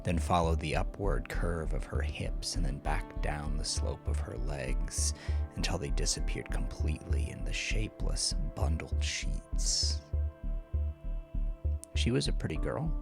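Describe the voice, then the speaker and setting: A man narrating scripted horror fiction in a slow, dramatic manner, often dropping into breathy near-whispers. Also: extremely low pitch, very animated. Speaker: audiodrama narrator. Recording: music under the voice. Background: music.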